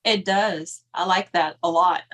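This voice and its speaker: A woman's voice: fairly low pitch, fairly even intonation, unhurried, a bit bright. A woman in her fifties joining a sewing livestream, chatting and cheering on the host. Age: 50s. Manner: encouraging, laughs easily. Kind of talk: casual chat. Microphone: phone.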